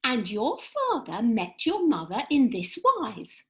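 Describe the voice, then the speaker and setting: A woman playing a brisk, bossy fairy in a comic radio play, speaking in an emphatic, precise, lecturing way. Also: a little high, huge pitch swings, heavily acted. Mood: lofty, lecturing. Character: brisk, bossy female fairy, lofty and theatrical.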